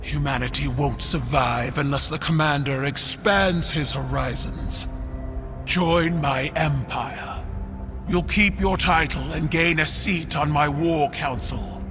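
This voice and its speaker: An actor playing a proud, imperious alien warrior empress in a video game cinematic, speaking in a regal, measured and forceful way. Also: medium pitch, natural voice. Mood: commanding. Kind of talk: dramatic game cinematic acting. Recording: prominent cinematic music behind voice. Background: music.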